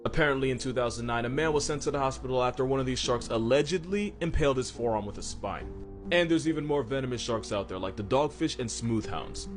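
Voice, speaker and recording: Fast-talking wry man narrating over music. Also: medium pitch. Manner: wry, slangy, sarcastic. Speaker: animal facts narrator. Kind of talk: comedic scripted narration. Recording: music behind the voice. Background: background music.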